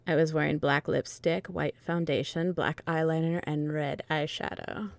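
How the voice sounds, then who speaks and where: A woman's voice: deep voice, fairly even intonation, normal speed, creaky, dry recording. A woman reading fan fiction dramatically as a melodramatic, self-absorbed teenage goth vampire witch. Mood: self-absorbed, matter-of-fact.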